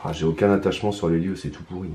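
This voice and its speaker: A hoarse man talking his way through a solo card game in hesitant, mumbled commentary. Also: low-pitched, steady tone, rapid-fire, noisy background. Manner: hesitant, mumbling, many restarts, muttering to himself. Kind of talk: casual solo gameplay commentary. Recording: roomy echo, faint ambience.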